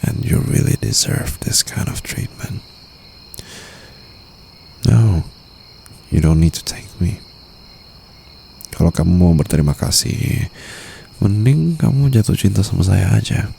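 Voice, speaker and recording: Guy doing a indonesian mafia boss boyfriend asmr thing, really deep crackly voice, sweet n protective, crickets behind him. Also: lively intonation, takes it slowly, vocal fry, long pauses, mellow, heavily acted. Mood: tender, teasing. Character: smitten young mafia boss, protective, teasing, tenderly possessive. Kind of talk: intimate ASMR boyfriend roleplay. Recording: faint night-time insect ambience noise.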